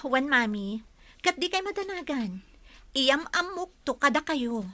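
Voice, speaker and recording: A woman's voice: high voice, big pitch swings, a little slow. A woman playing an earnest, respectful young adult daughter in an Ilocano radio comedy drama. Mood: apologetic, earnest.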